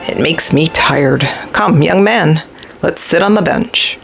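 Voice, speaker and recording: A woman's voice: low voice, slow pace, creaky, soft background music, exaggerated voice. A woman voicing a kindly, tired old man who muses and coaxes as he makes up stories in a children's storybook. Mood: tired, friendly. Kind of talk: children's storybook read-aloud.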